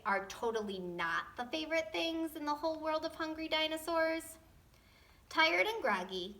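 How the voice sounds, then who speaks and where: A woman reading a storybook aloud to children in an expressive, playful, tongue-in-cheek way. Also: fairly high pitch.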